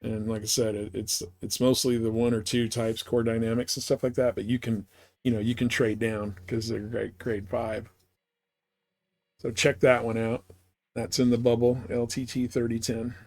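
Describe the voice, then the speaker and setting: A man with an average-pitched voice talks as a live game streamer. He is informal and rambling, explaining things in a loose, conversational way. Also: little pitch movement, moderate pace, a little mellow. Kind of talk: casual live stream gameplay chat.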